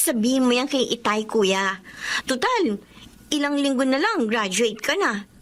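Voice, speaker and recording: Cheerful high-voiced younger sister. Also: female voice, sing-song, unhurried, a bit bright. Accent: Filipino. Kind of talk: Filipino radio drama acting.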